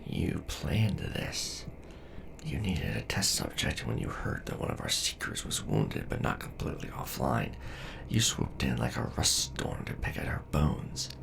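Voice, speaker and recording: Casting: man as gruff, loyal robot soldier for audio drama, very deep, widely swinging delivery. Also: wildly swooping pitch, unhurried, full character voice. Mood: indignant. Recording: very noisy voice track with ambience.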